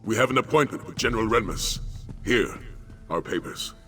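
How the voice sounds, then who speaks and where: A very deep-voiced veteran captain from a fantasy video game. He is grave and courteous, choosing his words formally and at a measured clip. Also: expressive, bright, forceful tone, soft background music. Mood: measured, businesslike. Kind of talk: video game character dialogue.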